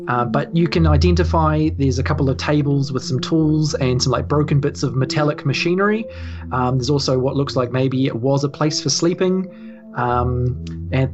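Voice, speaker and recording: A woman with a New Zealand accent casually narrating a tabletop game as game master, informal and hesitant. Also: very deep voice. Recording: slightly dull, music bed behind. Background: background music.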